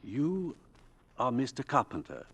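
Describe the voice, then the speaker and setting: An actor playing a courteous, eager older professor with formal, precise phrasing in 1950s radio drama style. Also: male voice, medium pitch, huge pitch swings, measured pace, gravelly, noisy background, heavily acted. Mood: polite, curious.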